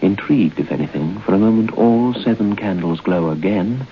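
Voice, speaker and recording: An actor playing a grave, ominous narrator of a radio ghost story, speaking in a deliberate, suspenseful way. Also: male voice, on the low side, faint music behind, natural voice. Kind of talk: old-time radio horror narration. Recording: muffled, noisy old radio broadcast.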